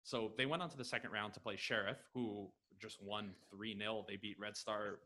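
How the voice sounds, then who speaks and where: A man's voice: fairly low pitch, fairly even intonation, pushed and bright. A man in his thirties with an American accent from Los Angeles, a guest on a football podcast, answering eagerly with many fillers. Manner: enthusiastic, quick, with many fillers. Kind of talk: casual interview answers. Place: home room, video call. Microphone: headset.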